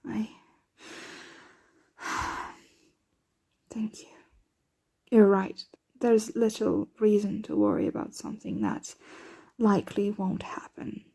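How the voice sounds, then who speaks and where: A woman playing a slow, breathy, aristocratic vampire mistress. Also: mid-range voice, big pitch swings, dark tone, full character voice. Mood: worried, vulnerable, then soothing, affectionate. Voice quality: breathy, often dropping to a whisper. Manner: slow, measured, formal diction. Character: protective, aristocratic female vampire mistress, anxious and doting. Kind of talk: ASMR roleplay.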